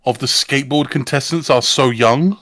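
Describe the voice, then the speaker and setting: Man, casual panel talk, wry joker, average pitch, no room sound. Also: moderate pace, fairly bright tone, dry recording, clean studio sound. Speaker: podcast panelist. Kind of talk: casual chat.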